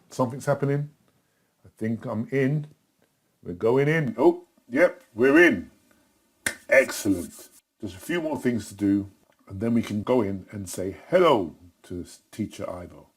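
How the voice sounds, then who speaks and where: A middle-aged man giving a casual step-by-step tutorial in a chatty, simple way, with a husky voice. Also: on the low side. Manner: simple, chatty, talking himself through each step. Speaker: tutor in a tutorial video, also acting as the student.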